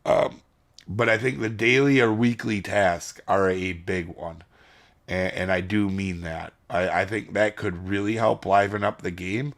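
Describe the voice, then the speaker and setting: A man's voice: sits low, steady tone, measured pace, fairly bright tone. A man hosting a gaming channel, chatting casually to his viewers while losing his voice and rambling from point to point. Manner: rambling, addresses viewers as guys. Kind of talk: casual video commentary.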